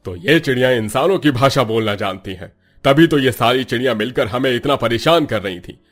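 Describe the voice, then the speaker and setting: A man's voice: mid-range voice, expressive, a little fast, soft background music, lightly acted. A man voicing an older village headman in a Hindi cartoon story, firm and paternal, with an emphatic, blunt way of talking. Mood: angry, resolute.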